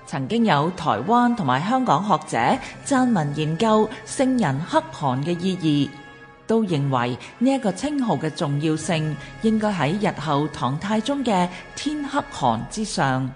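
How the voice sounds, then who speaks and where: A woman narrates in Hong Kong Cantonese with a low voice and slow pace. Her delivery is formal and measured, like a scripted documentary, with faint music behind her. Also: a little mellow. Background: background music.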